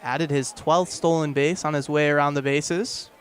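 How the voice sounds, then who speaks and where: A young man calls baseball live on the radio in a high-pitched voice. He speaks in short, clipped phrases and builds to excited calls as the play develops. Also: normal speed. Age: young adult. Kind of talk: live radio sports commentary.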